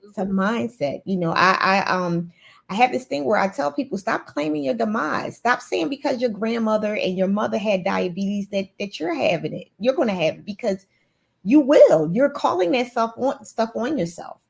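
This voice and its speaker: A woman's voice: a little high, expressive, normal speed, vocal fry, slightly rough. A woman guest in a casual interview, talking in an earnest, motivational way with frequent restarts. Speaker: guest, nurse CEO.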